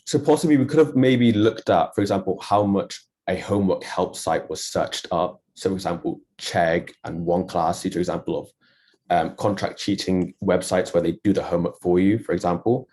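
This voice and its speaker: A man's voice: deep voice, fairly even intonation, moderate pace, dark tone. A young man, a student presenter, answering questions informally and somewhat tentatively. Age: young adult. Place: online video call.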